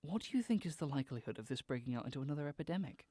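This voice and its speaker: An actor playing an eager, deferential research associate in a 1920s radio drama, polite and a little hesitant. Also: male voice, mid-range voice, lively intonation, rapid-fire, mellow, no room sound, clean studio sound, lightly acted. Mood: curious.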